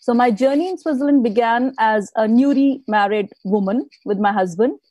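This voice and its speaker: Mellow-voiced woman from India. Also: on the high side, normal speed, no echo. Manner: warm, storytelling, laughs often. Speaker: guest speaker. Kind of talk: live interview.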